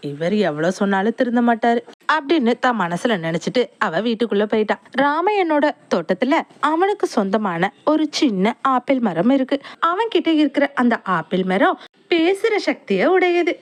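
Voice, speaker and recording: A woman narrating a cartoon moral story in a clear, even storytelling manner. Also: sits high, moderate pace.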